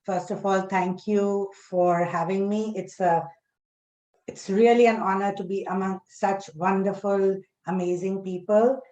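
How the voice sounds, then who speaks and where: A woman introducing herself as a panelist, speaking in measured short phrases with pauses. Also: medium pitch, fairly even intonation, dark tone, muffled recording. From Karachi, Pakistan. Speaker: panelist, recipe curator. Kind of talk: personal introduction at a panel talk. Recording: echoey room sound.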